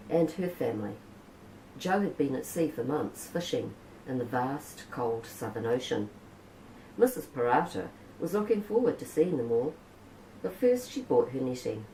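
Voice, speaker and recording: A New woman reads a children's picture book aloud with a low, warm, mellow voice and a gently rising and falling storyteller's lilt. The recording is a little roomy, with a faint hiss underneath. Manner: gentle storytelling, Maori words spoken naturally.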